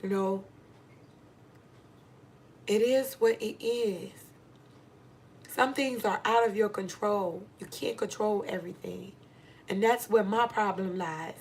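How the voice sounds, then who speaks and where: A woman's voice: mid-range voice, takes it slowly, long pauses, a little mellow, a little hiss or hum. A middle-aged American woman giving a candid motivational monologue to viewers, speaking emphatically and repeating phrases for stress. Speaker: vlogger giving advice to women.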